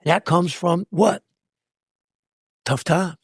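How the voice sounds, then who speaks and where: A man with a raspy voice, a coach co-hosting a podcast, talking in punchy, preachy motivational bursts. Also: sits high, extremely slow, dry recording, clean studio sound. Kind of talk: casual podcast chat.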